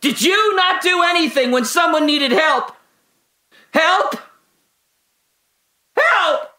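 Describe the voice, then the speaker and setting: An actor playing a guilt-ridden trapped man in an audio drama monologue, halting and intense, confessing and pleading. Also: extremely high pitch, animated, full character voice. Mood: defiant, anguished. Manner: halting, intense, swinging from hushed confession to anguished cries.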